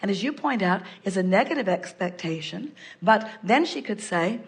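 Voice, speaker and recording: An older woman giving a measured, explanatory seminar talk, referring to herself in the third person. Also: medium pitch, lively intonation. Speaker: spiritual speaker answering a guest. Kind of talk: live seminar channeling talk.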